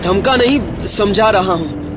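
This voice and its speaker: A man's voice: sits high, unhurried, music in the background. A man playing a protective, defiant teenage elder brother in a horror audio drama. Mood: firm, defiant.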